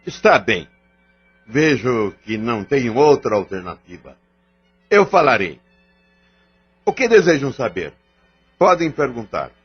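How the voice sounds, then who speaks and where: Casting: male actor for arrogant, sly spirit chief in an audio drama, raspy edge, muffled sound with music behind. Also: medium pitch, very animated, measured pace, long pauses. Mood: grudging, proud. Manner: defiant, boastful, grudging. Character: proud, furious, cunning malevolent male spirit, gang chief. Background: background music.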